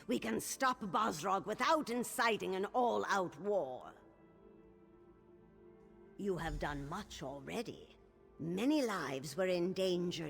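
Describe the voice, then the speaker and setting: Stately orc matriarch, game drama: gravelly edge, measured authority. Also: female voice, mid-range voice. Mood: grave, scheming, then gracious, motherly. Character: stately older orc matriarch, proud mother of a king. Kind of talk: dramatic video game voice acting. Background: game music.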